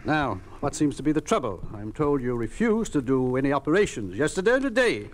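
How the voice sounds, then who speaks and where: A man's voice: mid-range voice, big pitch swings, a little fast, close to an everyday voice. An actor playing a callous, condescending male hospital bureaucrat in a 1950s radio drama. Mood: cold, officious. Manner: condescending, officious. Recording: faintly noisy old 1950s radio recording.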